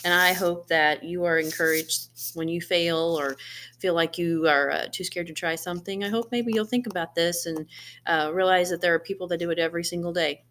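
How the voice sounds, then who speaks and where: Low-pitched woman talking to students. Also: steady tone, brisk, fairly bright tone. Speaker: school read-aloud presenter. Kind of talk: informal encouraging talk to students. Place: small living room, indoors.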